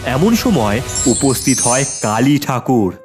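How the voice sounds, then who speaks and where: A man's voice: mid-range voice, sing-song, slow, music in the background. A man narrating a scripted children's story in a dramatic storytelling manner.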